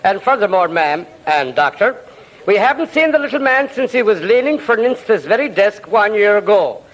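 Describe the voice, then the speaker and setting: An actor playing a deferential, long-winded police sergeant, rambling formally and repeating himself, in 1940s radio comedy style. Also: male voice, sits high, big pitch swings, moderate pace, a bit bright, rough recording. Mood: patient, droll.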